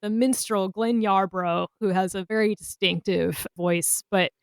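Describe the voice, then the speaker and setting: A woman's voice: medium pitch, steady tone, unhurried, creaky, smooth, dry recording. A woman co-hosting a podcast in casual panel chat, gushing with enthusiasm about what she likes. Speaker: podcast co-host.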